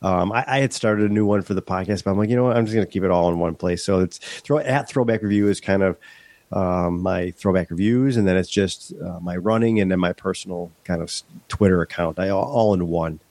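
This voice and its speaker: A man's voice: low-pitched, brisk, a little mellow, no echo. A middle-aged man from Western New York, stuffed up from a head cold, chatting candidly as a podcast guest with many restarts. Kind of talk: casual interview chat.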